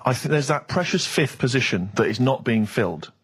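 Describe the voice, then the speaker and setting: British male radio host, mock-earnest teasing, very quick delivery and a rough-edged, husky voice. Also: medium pitch, lively intonation. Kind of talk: comic radio banter.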